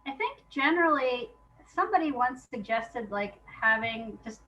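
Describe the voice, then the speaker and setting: Woman, interview guest, higher-pitched voice. Informal, reflective, halting delivery, thinking out loud. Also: animated, a little hiss or hum, poor audio. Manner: informal, halting, thinks aloud with frequent hedging and laughter. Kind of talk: casual reflective conversation.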